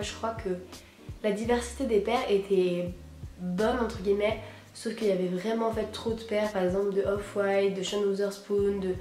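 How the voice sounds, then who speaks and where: A young woman, hoarse from a cold, chatting casually and slangily to camera as an enthusiastic vlogger. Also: mid-range voice, warm tone. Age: teens to young adult, high school student. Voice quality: hoarse, husky. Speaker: sneaker vlogger presenting purchases. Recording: roomy echo, music behind.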